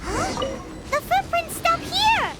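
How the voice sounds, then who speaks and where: Squeaky, excitable tiny fairy girl. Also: slow pace, full character voice. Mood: excited. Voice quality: squeaky, childlike. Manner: bubbly, exclaiming, whiny when bored. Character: Paimon, tiny childlike girl fairy companion, excitable and impatient. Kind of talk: video game voice acting. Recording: game music behind the voice. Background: loud playful game music.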